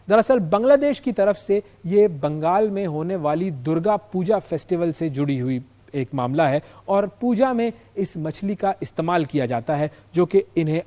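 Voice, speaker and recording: Male news presenter, Pakistani accent, slightly high voice, emphatic explanatory delivery with repeated key phrases. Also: mellow. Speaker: news show host.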